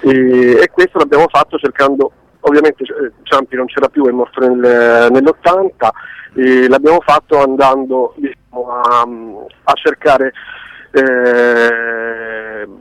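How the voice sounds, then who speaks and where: A man's voice: mid-range voice, steady tone, unhurried, dry recording, rough recording. A man giving an informal interview, explaining reflectively and often circling back to rephrase. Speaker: interviewed documentary co-director.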